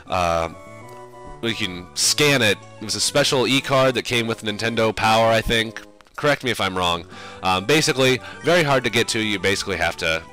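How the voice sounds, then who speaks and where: A man's voice: on the low side, sharp tone, poor audio. A young man with a cold, sounding sinusy, casually rambling through let's play commentary with lots of fillers. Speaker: game commentator.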